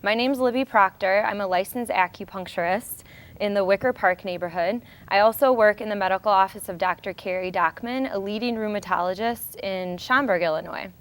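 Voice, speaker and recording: Clear-voiced American woman hosting. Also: medium pitch, brisk. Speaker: show host, acupuncturist. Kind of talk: informal educational presentation.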